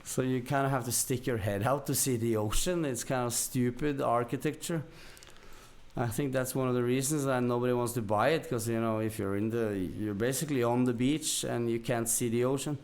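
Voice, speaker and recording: A man's voice: mid-range voice, steady tone, brisk, darkish tone. Casual Norwegian man telling stories. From Norway. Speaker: podcast guest. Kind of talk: casual storytelling.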